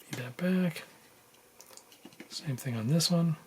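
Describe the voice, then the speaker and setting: A man's voice: a little high, slow pace, darkish tone. A man giving a casual hands-on tutorial, thinking aloud informally as he works at a bench indoors. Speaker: tutorial host. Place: indoors at a workbench. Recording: noticeable room background noise.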